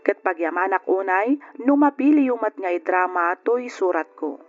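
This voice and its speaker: A man's voice: sits high, expressive, moderate pace. A man playing a sentimental letter writer, narrating his life story for a radio drama. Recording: dull audio over faint music.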